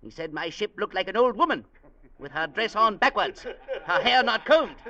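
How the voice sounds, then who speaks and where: An actor playing a hot-tempered, proud freighter captain in an old radio drama, blustering and combative. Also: male voice, high voice, expressive, normal speed, crowd noise. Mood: indignant. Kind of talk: 1940s-50s radio drama acting. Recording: dull vintage radio recording.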